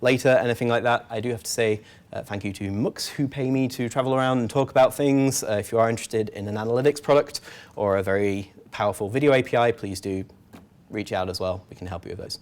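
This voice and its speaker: A man's voice: mid-range voice, quick pace, darkish tone. A man in his thirties giving a casual, wry tech meetup talk at a podium microphone. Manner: casual, filler-heavy, wry. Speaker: conference speaker.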